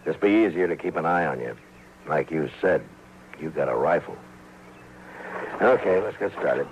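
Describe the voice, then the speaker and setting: An American man playing a stern, wary frontier marshal in an old-time radio western, speaking in a gruff, guarded, laconic way. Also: very deep voice, expressive, moderate pace. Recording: muffled, noisy old radio broadcast recording.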